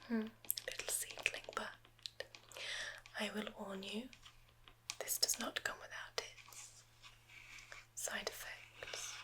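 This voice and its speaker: A woman voicing a soft-spoken, quietly sinister forest witch healer in a whispered ASMR roleplay, with slow, soothing, drawn-out words and murmured hums. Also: medium pitch, little pitch movement, lightly acted, low-quality recording. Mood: hushed, soothing. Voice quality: whispering, unvoiced.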